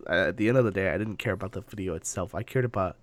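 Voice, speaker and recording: A man chatting casually as a podcast co-host, halting and often repeating himself. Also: deep voice, crowd noise.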